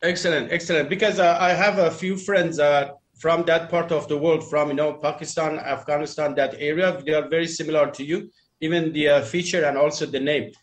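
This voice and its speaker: A man with a fairly high voice speaks English as a second language. He talks casually in a group chat, offering advice in a rambling, emphatic way and often repeating phrases. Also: fairly even intonation, brisk. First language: not English.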